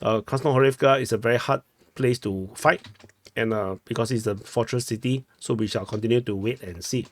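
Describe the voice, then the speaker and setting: A man's voice: medium pitch, fairly quick. A young man from Singapore with a Singaporean English accent, giving casual commentary over war maps, chatty and often correcting himself, tagging "no?" on his points. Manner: chatty, self-correcting, ends with "no?". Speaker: war map analyst. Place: small room at home.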